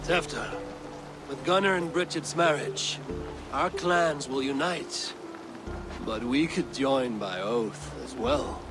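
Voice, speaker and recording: An actor playing a bold, blunt, hard-drinking Norse warrior in a video game, boastful and declaiming. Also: male voice, mid-range voice, slow, music in the background, close to an everyday voice. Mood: earnest. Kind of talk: dramatic video game acting.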